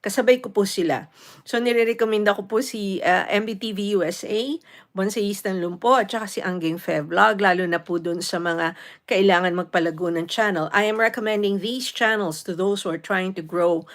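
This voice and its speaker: Chatty Filipina live-stream host. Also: female voice, mid-range voice, fairly quick. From Philippines. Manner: chatty, greets viewers by name, gives tips. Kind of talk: casual live-stream chat mixing English and Tagalog.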